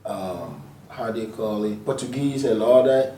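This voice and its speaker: A man from Ghana chatting casually on a podcast, pausing often to search for words. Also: on the low side, warm tone, distant mic. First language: a Ghanaian language. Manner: halting, searches for words with drawn-out uhs. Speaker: podcast co-host. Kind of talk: casual chat. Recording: roomy, from off the mic.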